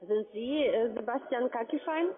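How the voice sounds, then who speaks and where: A woman with a fairly high voice works as a telephone sales caller. She delivers her pitch over a thin, narrow-band phone line. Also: normal speed.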